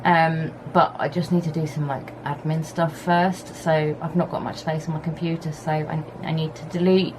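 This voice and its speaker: A woman chatting casually to camera at home with a husky, hoarse voice, rambling in an easygoing way. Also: low voice, level tone, moderate pace, few pauses. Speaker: vlogger. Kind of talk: casual vlog chat to camera. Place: home on a hot day, fan running. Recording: faint background hum of fan. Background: fan and pressure washer outside.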